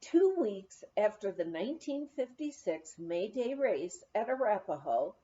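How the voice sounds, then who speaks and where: A woman's voice: medium pitch, very animated, a little slow, warm tone. A woman reading a memoir aloud as scripted narration, in a slightly dull recording. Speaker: audiobook reader.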